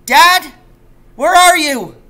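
Frightened storybook boy, played by a man, raised pitch, bright, urgent calls. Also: animated, extremely slow, exaggerated voice. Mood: panicked shouting. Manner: urgent calling out. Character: frightened young boy calling for his father.

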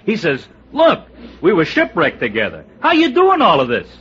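An American actor playing a brash, resentful comedy sidekick in an old-time radio drama. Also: male voice, a little high, wildly swooping pitch, normal speed, a bit bright, husky, heavily acted. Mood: animated. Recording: muffled old radio broadcast recording.

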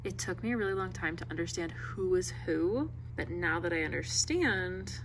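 Young female vlogger, husky fry-tinged voice, loose chatty delivery, phone mic at home. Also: fairly low pitch, animated, normal speed, slight background noise, low-quality recording. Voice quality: raspy. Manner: chatty, rambling, lots of "like". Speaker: book vlogger. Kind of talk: casual vlog chat. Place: home, bedroom and kitchen.

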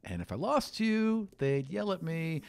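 A man voicing a sweet, anxious female elf messenger in a tabletop game, light and soft. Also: on the high side, very animated, measured pace, gravelly, pitched above the performer's own voice, heavily acted. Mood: eager, anxious.

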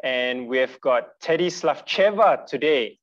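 A man's voice: mid-range voice, lively intonation, unhurried. A man in his thirties moderating an online webinar interview, polite and restarting often with repeated words. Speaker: moderator, host. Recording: dull distant video call audio.

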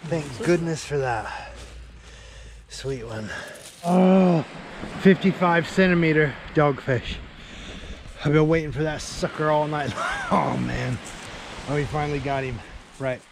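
A man's voice: on the high side, a little slow, vocal fry, pauses often. A man in his forties chatting casually to camera as an angling vlogger, with a laid-back manner. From United Kingdom. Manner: laid-back, often tags remarks with "man". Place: outdoors on a beach at night, in a small tent. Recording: noisy outdoor camera audio.

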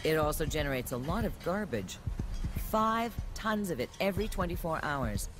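A man doing measured, scripted narration for a documentary voice-over. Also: a little high, big pitch swings. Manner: measured, explanatory. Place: studio voice-over. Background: music.

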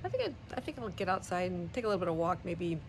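A middle-aged woman chatting casually about books in a vlog, halting as she thinks aloud. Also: fairly low pitch, sing-song, a little hiss or hum. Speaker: book vlogger. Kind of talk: casual book review chat. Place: small wood-paneled living room indoors. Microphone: phone or camera at arm's length.

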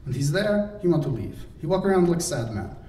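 Guy voicing a breathy intense young woman artist, broken english, pitched up soft, far-off echoey recording. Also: big pitch swings, fairly quick. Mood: aggrieved. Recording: roomy, distant, faint hiss.

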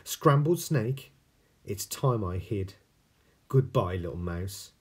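A man's voice: fairly low pitch, expressive, slow pace, slightly rough, exaggerated voice. A man voicing a sly, easily scared snake in a storybook, emphatic and exclamatory. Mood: alarmed.

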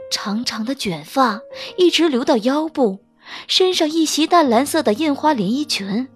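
Female audiobook narrator for romance fiction, high-pitched, expressive range, light music underneath. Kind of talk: dramatic scripted narration of a romance novel.

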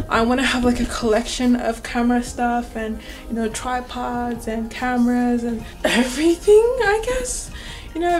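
Chatty young woman vlogging to camera. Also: on the high side. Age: young adult. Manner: chatty, loose, many "like" and "you know" fillers. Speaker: student vlogger. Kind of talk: casual vlog talk to camera. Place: small bedroom and back seat of a taxi. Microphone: handheld camera on a small tripod at arm's length.

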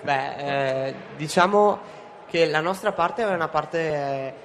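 A man's voice: a little high, unhurried, fairly bright tone, a little hiss or hum. A teenage boy who is a student actor, explaining his part in an interview fluently and eagerly. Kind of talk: interview answers.

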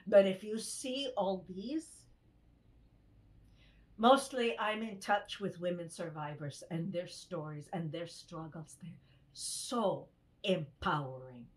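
An older woman playing an earnest survivor and human rights activist in a dramatized testimony, speaking expressively in halting phrases. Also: fairly low pitch. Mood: earnest, uplifted. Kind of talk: dramatized first-person testimony monologue. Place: indoors in a home room, on a video call.

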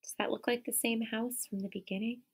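A woman in her thirties, a teacher reading a picture book aloud to children, animated, with gasps and questions to her young listeners, recorded on a close webcam in a classroom. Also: on the high side, brisk, gravelly, breathy edge. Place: classroom or office with window and colorful wall hanging. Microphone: webcam at close range.

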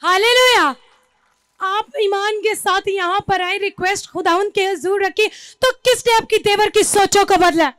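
Brief: woman church host, very high bright-sounding voice, dry close mic, exclamatory prompts. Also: moderate pace, no echo. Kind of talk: interviewing at a church stage. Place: indoor church stage. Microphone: handheld mic.

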